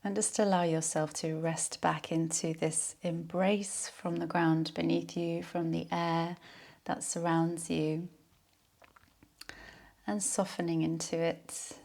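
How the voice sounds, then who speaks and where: A woman leading a guided yoga nidra relaxation in a soothing, unhurried, soft-spoken way. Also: on the low side, level tone, creaky.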